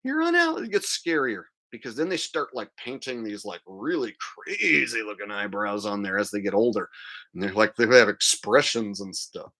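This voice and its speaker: A man's voice: lowish voice, sing-song, moderate pace, sharp tone. A man hosting a livestream, delivering a casual, crude, mocking rant in a monologue. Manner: mocking, crude, acting out mimicry. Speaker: livestream host.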